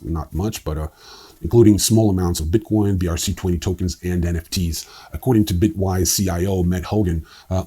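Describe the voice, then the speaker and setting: A man's voice: low voice, dark tone, breathy edge. A man hosting a newsreel, reading scripted news in an informal way with wry asides. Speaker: newsreel host. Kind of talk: scripted crypto news narration with casual asides.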